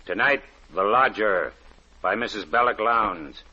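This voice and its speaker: A man's voice: lowish voice, expressive, measured pace, breathy edge. A man reading a scripted 1940s radio drama introduction in a formal, portentous manner. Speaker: program announcer. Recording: muffled 1940s radio recording. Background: dramatic music.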